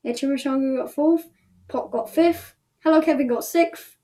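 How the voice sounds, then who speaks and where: A woman's voice: sits high, normal speed, warm tone. A woman casually announcing results as the host of an online show, chatty and thinking aloud as she goes.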